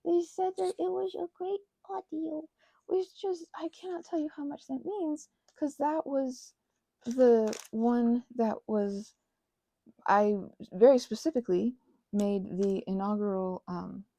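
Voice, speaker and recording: A woman rambling casually as she crafts, talking in a gushing, halting way and often interrupting herself. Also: sits high, creaky, mellow, no room sound. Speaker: hobby ASMR and roleplay audio creator.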